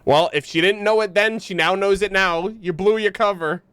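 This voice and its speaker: A man's voice: high voice, moderate pace, fairly bright tone, clear, resonant tone, no echo. A young man streaming a game with casual, slangy commentary, joking and incredulous. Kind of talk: casual live gameplay commentary.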